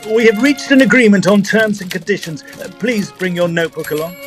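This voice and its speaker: A man's voice: high voice, animated, a little fast, fairly bright tone, soft background music. A man playing a fussy, proper Edwardian ghost-hunter, telling his story formally and precisely in an audio drama. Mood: businesslike. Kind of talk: first-person period storytelling and audio drama.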